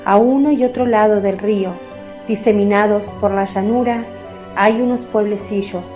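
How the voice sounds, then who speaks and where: A woman giving a measured, descriptive scripted narration as the narrator of a radio drama. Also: medium pitch, fairly even intonation, dark tone. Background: faint music.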